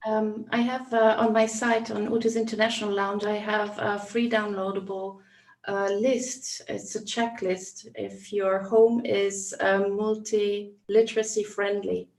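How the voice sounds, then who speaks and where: A woman co-hosting a live video chat, talking with pauses and fillers. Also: mid-range voice, little pitch movement. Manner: hesitant with fillers. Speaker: co-host. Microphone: video call. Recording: echoey room, far from mic.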